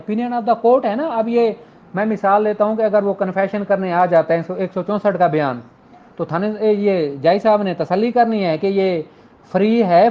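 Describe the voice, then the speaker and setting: A law lecturer with a Pakistani accent explaining informally with examples, mixing in English legal terms. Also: mid-range voice. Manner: Urdu speech mixed with English legal terms. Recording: dull, lo-fi audio.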